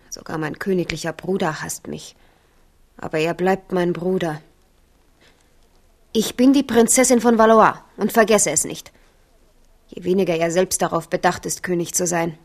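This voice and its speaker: Eine Schauspielerin spielt im Hörspiel eine stolze, schwermütige junge Königin, ernst und innig. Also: female voice, lowish voice, a little mellow. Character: proud, melancholy young queen, tender toward her husband. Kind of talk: radio drama acting.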